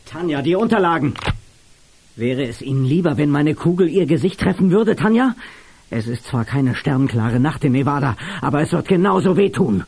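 Husky lone-wolf action hero. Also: male voice, a little high, lively intonation, moderate pace, a little mellow, full character voice. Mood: coldly menacing. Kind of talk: German-dubbed television action drama.

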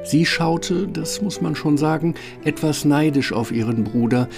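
A man's voice: fairly high pitch, measured pace, vocal fry, music in the background. A man narrating a scripted fairy tale as the storyteller of a radio play.

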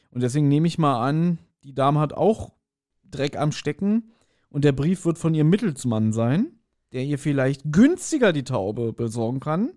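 A man co-hosting a podcast, casually discussing and speculating at length with pauses and self-corrections. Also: mid-range voice, dark tone. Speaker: podcast co-host. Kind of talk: casual audio drama discussion.

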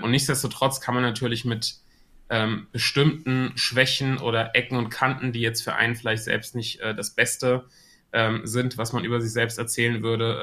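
A man's voice: mid-range voice, sharp tone. A man with a Hessian accent, a podcast guest talking about marketing in a thoughtful way with many filler sounds. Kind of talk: casual podcast interview.

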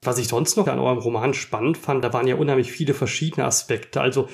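A man with a mid-pitched voice hosts a casual podcast discussion. He speaks reflectively and works through ideas in an analytical way. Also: quick pace, creaky. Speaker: podcast host.

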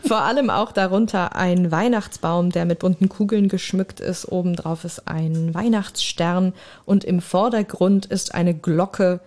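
A woman's voice: on the low side, unhurried, darkish tone. A woman chatting casually as a guest on a podcast. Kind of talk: casual chat.

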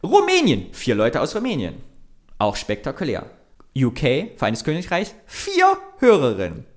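A man's voice: a little high, wildly swooping pitch, moderate pace. A man with a Thuringian accent, co-hosting a podcast in casual chat, chatty and often laughing as he talks. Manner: chatty, laughs while talking, listing things off. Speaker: podcast co-host.

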